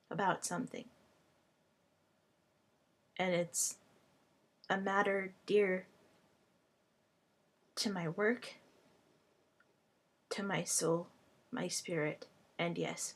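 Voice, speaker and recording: A woman whose first language is Hungarian, a language tutor speaking to camera in a personal vlog, in short, halting phrases. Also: on the low side, steady tone.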